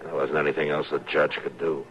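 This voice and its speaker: Deep-voiced, terse Western marshal. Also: male voice, little pitch movement, fairly quick, a crowd in the background. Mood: grim, resigned. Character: steady, world-weary frontier marshal, grown man, dryly plain-spoken. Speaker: radio actor. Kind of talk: old-time radio western acting. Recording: dull, lo-fi vintage radio recording.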